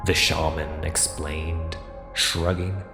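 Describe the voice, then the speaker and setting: A man's voice: extremely low pitch, flat delivery, slow pace, pushed and bright. A man narrating a fantasy story in a dramatic, scripted audiobook style. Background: music.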